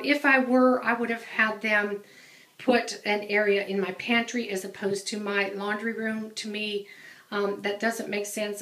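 A woman's voice: a little high, a bit bright. Chatty woman touring her laundry room. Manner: chatty, many ums and restarts. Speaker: home organizing vlogger. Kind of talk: casual home tour narration. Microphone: handheld camera, off screen. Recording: echoey small tiled room.

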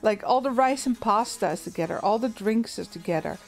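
A woman's voice: medium pitch, a little fast, vocal fry, faint music behind, low-quality recording. A woman with a soothing voice streaming a game, chatting casually with viewers and thinking aloud with fillers and small laughs. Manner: relaxed, chatty, frequent little laughs and fillers.

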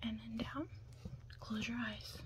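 A young woman doing an ASMR roleplay in whispers and soft talk, hushed, chatty and a little hesitant, recorded close up indoors. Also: fairly high pitch, fairly even intonation, takes it slowly, noisy background.

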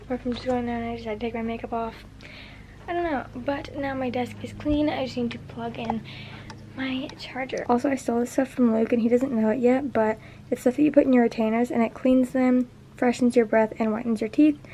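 A teenage girl vlogging casually in her bedroom, rambling with lots of fillers while tidying up. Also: a little high. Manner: rambling, filler-heavy, chatty. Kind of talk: casual vlog chat. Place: bedroom, indoors. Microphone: handheld camera at arm's length. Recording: noisy home recording.

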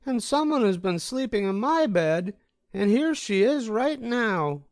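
A man voicing a wee little baby bear cub, plaintive and squeaky, in a storybook. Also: big pitch swings, a little slow, no echo, clean studio sound, full character voice. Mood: upset, plaintive.

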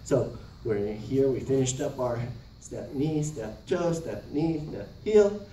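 A man's voice: mid-range voice, expressive, takes it slowly, warm tone. A middle-aged man teaching a dance, giving short instructions and calling out counted steps. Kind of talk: dance lesson demonstration with step counting. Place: large carpeted indoor multipurpose room. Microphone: camera across the room. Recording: roomy, distant camera mic, faint noise.

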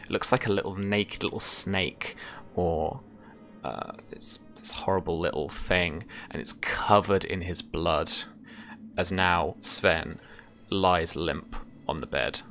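Deep-voiced man narrating tabletop horror. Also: takes it slowly, fairly bright tone, a little hiss or hum. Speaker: game master.

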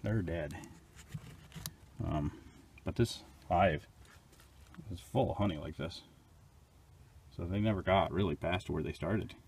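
A man's voice: low-pitched, takes it slowly, gravelly, long pauses, a little mellow, a little hiss or hum. A man casually narrating as he inspects his beehives outdoors, hesitant and unsure, thinking aloud. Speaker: beekeeper.

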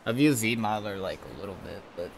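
Low-voiced man in casual chat. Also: fast, a little hiss or hum. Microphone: online voice chat.

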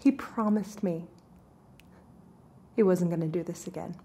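A woman in her thirties playing a worried rescuer in an ASMR roleplay, speaking in a hushed, reassuring way. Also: on the low side, unhurried.

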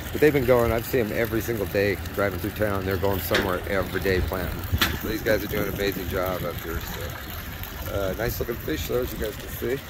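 Low-voiced man rambling outdoors in the wind. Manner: rambling, easygoing, many fillers. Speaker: fishing report host. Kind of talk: casual outdoor fishing report. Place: outdoors by a river on a windy day. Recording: windy outdoor camera audio with engine noise. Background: wind, rushing water and a running truck.

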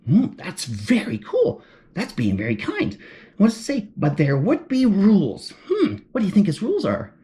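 A woman's voice: mid-range voice, mellow. A woman reading a picture book aloud to children, gasping with surprise and asking the listener questions as she turns the pages. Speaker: children's story reader. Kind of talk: animated children's read-aloud.

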